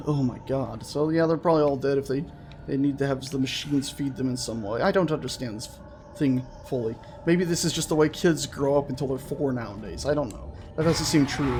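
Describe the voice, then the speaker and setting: High-pitched man rambling through a video game. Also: slight background noise. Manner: rambling, self-interrupting, playful wording. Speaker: gaming video host. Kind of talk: casual let's play commentary. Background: game music.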